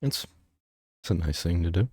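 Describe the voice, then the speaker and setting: A man's voice: sits low, animated, normal speed, darkish tone, slight background noise. A man hosting a reaction video, chatting casually and musing in a loose, rambling way over the clip he is watching.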